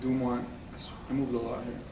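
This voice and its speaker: A man's voice: medium pitch, completely flat delivery, fairly quick. A young man in his twenties from Syria, a student guest, answering plainly with fillers. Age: 20s. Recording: echoey room, far from mic, noise.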